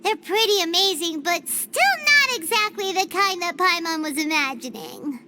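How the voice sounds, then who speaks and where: A woman voicing a tiny, excitable, boastful fairy companion in a video game, with a squeaky childlike voice and theatrical manner. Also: moderate pace, exaggerated voice. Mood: excited. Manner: refers to self in third person, theatrical. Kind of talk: animated video game voice acting.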